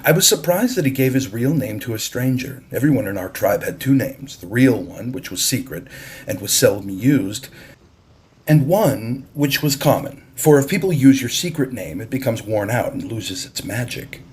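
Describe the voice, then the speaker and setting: A man reads a novel aloud as narrator. His voice is mid-pitched with a slightly husky edge. He delivers the scripted story deliberately and expressively. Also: expressive, darkish tone. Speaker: audiobook narrator.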